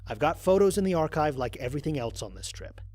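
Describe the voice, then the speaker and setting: Man acting as male space explorer, audio drama log entry, higher-pitched, animated intonation, wry and conversational, dry close recording. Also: fast, no echo. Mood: amused, amazed. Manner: conversational, wry, dryly amused.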